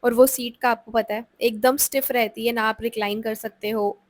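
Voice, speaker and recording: A woman's voice: on the high side, fairly even intonation, moderate pace, no echo. A young woman, narrating her vlog in a chatty voice-over and mixing in English, with a sore throat from a cold. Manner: chatty Hinglish storytelling. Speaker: vlogger. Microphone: close voice-over mic.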